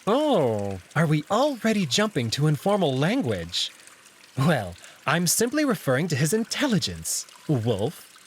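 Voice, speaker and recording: A sly jackal noble, acted by a man, slightly high in pitch and very animated, needling with mock courtesy. It is a visual novel character voice over faint background noise and trickling water. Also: measured pace. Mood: mock-polite, probing. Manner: smooth-talking, mock-polite, taunting.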